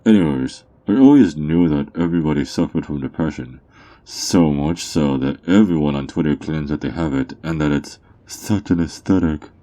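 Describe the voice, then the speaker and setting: A man playing a sly, mocking underground drug dealer in an ASMR roleplay, teasing and smug, with theatrical drawn-out words. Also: extremely low pitch, dark tone. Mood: sly, boastful. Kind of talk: ASMR character roleplay monologue.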